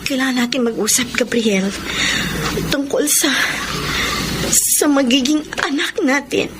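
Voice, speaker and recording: A woman's voice: high voice, measured pace, very noisy. A Filipino actress playing an emotional, insistent pregnant young woman who pleads for marriage in a radio drama. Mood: grave. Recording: loud music under the voice.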